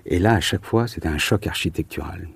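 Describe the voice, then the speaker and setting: A man's voice: deep voice, quick pace, vocal fry, slightly rough, no echo. A man in his forties from southern France giving reflective interview answers, searching for his words and repeating some as he goes. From Marseille, southern France. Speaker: interview guest, architect.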